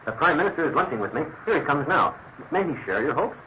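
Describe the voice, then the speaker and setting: Radio drama minister: English, excitable, fussy, rapid, swooping mid-range voice. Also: male voice, on the high side. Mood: polite, anxious. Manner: proper, fussy, exclamatory. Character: excitable, worried English government minister and husband. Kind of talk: classic radio drama acting. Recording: thin phone-like radio sound, clear noise.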